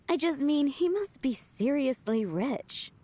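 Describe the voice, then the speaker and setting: Joking young tavern boss. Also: female voice, sits high, animated, unhurried, dark tone. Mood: wry. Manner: playful, joking and teasing. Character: young woman tavern boss, playful joker. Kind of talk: video game voice acting.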